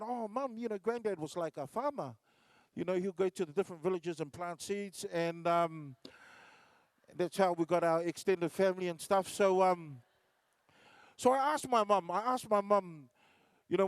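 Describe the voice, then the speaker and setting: A man from New Zealand working a live stage as a standup comedian, his voice riding high and bright. He rambles through stories with plenty of fillers and false starts. The sound is close and dry, with little room around it. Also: dry recording. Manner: storytelling with acted-out voices, many fillers and restarts. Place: large concert hall stage.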